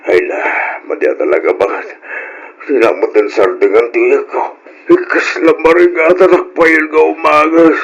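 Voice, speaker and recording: A man's voice: a little high, normal speed, lo-fi sound. A man playing an older, stubborn, ailing grandfather with a cough in a radio drama. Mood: pained, weary. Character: ailing, stubborn old grandfather who smokes, wheezy and weary.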